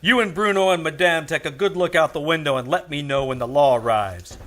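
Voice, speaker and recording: Bright-voiced, hard-boiled detective played by a man. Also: a little high, brisk, close to an everyday voice. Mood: sardonic, tough. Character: tough, wry private detective, male, narrating his case. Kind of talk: 1940s-50s radio detective drama acting.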